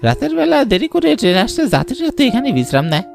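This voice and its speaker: A woman's voice: a little high, very animated, fast talker, creaky, darkish tone. A woman voicing a chatty, deferential village porter in a cartoon, with rustic speech. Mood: persuasive. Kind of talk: cartoon dialogue. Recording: background music, night insects.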